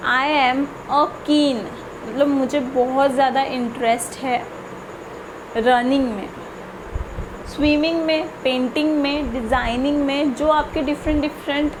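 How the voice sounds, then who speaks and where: A woman teaching a spoken English lesson, mixing in English example sentences that she reads out slowly and carefully. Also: high voice, noisy background. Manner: slow, deliberate example sentences with frequent "theek hai".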